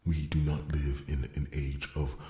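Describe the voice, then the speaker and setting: A man playing an earnest, devoted blacksmith warrior in a fantasy ASMR roleplay, stammering and repeating words, with a breathy voice that often sinks toward a whisper. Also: rumbling low, flat delivery, a little slow, mellow. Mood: grave, reflective. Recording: roomy, echoing sound.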